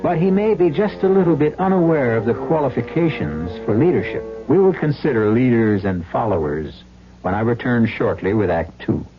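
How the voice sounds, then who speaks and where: Expressive male radio drama host, muffled broadcast. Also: medium pitch. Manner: measured, formal. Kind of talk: dramatic scripted narration. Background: music.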